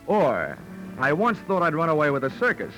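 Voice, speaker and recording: Dry, wry American radio detective. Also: male voice, on the high side, wildly swooping pitch, moderate pace, a bit bright, faint music behind, rough recording. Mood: wry, crisp. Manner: dry, sardonic. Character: wry, hard-boiled male insurance investigator. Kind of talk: 1940s radio acting, first-person narration. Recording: old 1940s radio transcription.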